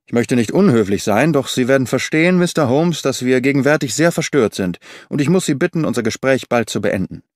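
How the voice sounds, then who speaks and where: A man's voice: medium pitch, lively intonation, a little fast, few pauses, dry recording, lightly acted. A man reading the part of a distraught middle-aged brother in an audiobook, formal and strained, in a dry recording.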